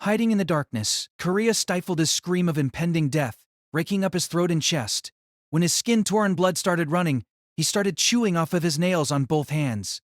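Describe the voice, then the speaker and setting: A man's voice: a little high, animated, moderate pace, no room sound, clean studio sound. A man narrating an audio drama in a dramatic, scripted style that rises and falls with the action.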